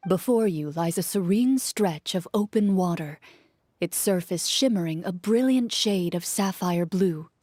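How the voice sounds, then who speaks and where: A woman playing a composed game master who narrates a fantasy adventure calmly and softly in a video game. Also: on the low side, a little slow. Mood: hushed, descriptive. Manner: calm, literary. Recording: faint music behind.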